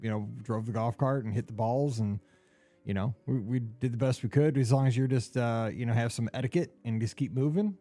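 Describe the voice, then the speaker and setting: Mellow-voiced male podcast host, easygoing. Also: medium pitch, fairly quick. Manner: loose, filler-heavy, hedging with "kinda". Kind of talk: casual chat.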